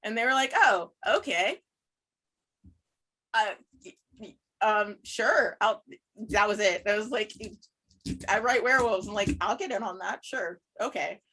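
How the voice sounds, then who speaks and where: A woman with a bright, forward voice at a middle pitch, chatting as a podcast guest. She tells anecdotes informally and animatedly, often quoting herself as she goes. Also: unhurried, pauses often, rough recording. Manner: animated, informal, quotes self in dialogue. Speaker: podcast guest, writer and show creator. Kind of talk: casual chat, telling anecdotes.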